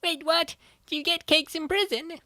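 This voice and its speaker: A woman's voice: extremely high pitch, steady tone, moderate pace, bright, forceful tone, no echo. Woman playing an earnest, nerdy gamer girl, close-up. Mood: defensive. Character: earnest, nerdy young female gamer, fond of puns. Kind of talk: comic dub dialogue, gaming banter.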